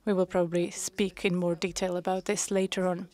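A woman interpreting a panel discussion into English, measured and pausing with frequent hesitations. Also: lowish voice, level tone, a little mellow. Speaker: conference interpreter.

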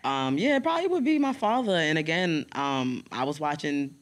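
Young NY woman, rapper guest: deep, strong voice, blunt slangy delivery, studio boom mic. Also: moderate pace. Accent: New York. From New York City. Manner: blunt, animated, street slang. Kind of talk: casual interview chat. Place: podcast studio lounge. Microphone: studio mic on boom arm, headphones.

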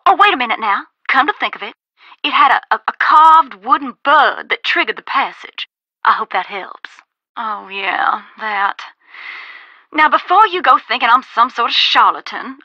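An actress playing a folksy, cautious former ghost hunter in a video game, with a Southern US accent and a drawling, cautionary manner. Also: female voice, fairly high pitch, sharp tone, dry recording, highs cut off, full character voice. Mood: casual, recalling, then earnest. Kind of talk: video game dialogue, conversational phone-call acting.

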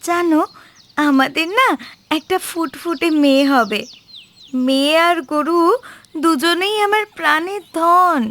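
Woman acting a high, bright young village wife. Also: takes it slowly, pauses often, exaggerated voice. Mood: doting. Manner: affectionate scolding, teasing. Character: doting, sharp-tongued young village wife, expecting a child.

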